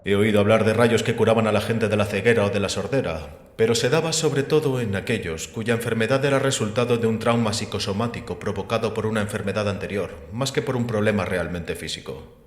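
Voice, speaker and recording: A male actor plays a learned, unshakeable sceptic in an audio drama. His low voice lays out arguments calmly and deliberately, with gentle mockery and probing questions. It rings through an echoing hall with a long reverb tail. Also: natural voice. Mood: matter-of-fact. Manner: measured, explanatory, rhetorical questioning. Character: calm, sceptical, erudite man called Revelation, gently mocking. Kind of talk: Spanish audio drama acting.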